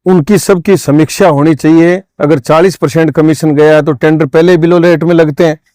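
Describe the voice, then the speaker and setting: Male political interviewee, fairly high smooth voice, dry sound, insistent. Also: steady tone, moderate pace, warm tone, no echo. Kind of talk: interview statement.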